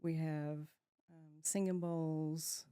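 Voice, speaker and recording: A woman's voice: low-pitched, flat delivery, takes it slowly, mellow, clean tone, dry recording, clean studio sound. A woman, chatting as an interview guest, laughing often and trailing off mid-sentence. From Texas, USA. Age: 50s. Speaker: guest, shop owner. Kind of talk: casual interview chat.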